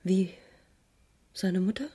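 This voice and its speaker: An actress playing a shaken, grieving woman in a radio crime drama, speaking in a hushed, halting, subdued way. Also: medium pitch, husky. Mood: grief-stricken. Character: shaken, grieving woman questioning a police inspector. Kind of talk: 1980s German radio crime drama acting.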